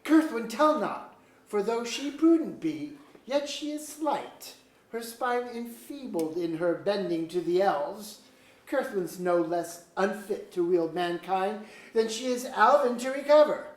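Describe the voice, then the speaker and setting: Slow, scheming courtly earl played by a woman. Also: medium pitch, animated, a little mellow, natural voice. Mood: persuasive, conspiratorial. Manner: formal declamatory archaic diction. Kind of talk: staged reading of a mock-Shakespearean verse play. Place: museum auditorium staged reading. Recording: echoey auditorium.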